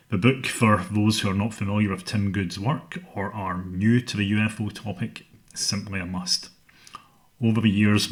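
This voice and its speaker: A middle-aged Scottish man hosting a solo podcast, speaking in a measured, earnest way about his interests. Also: low voice, a bit bright. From Scotland. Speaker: podcast host.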